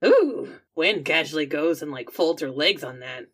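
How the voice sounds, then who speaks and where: A woman's voice: low-pitched, expressive, normal speed, fairly bright tone. A woman playing a roleplaying game, casually describing what her character does. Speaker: player in a tabletop roleplaying game. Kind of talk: casual game-table chat, describing own character's actions.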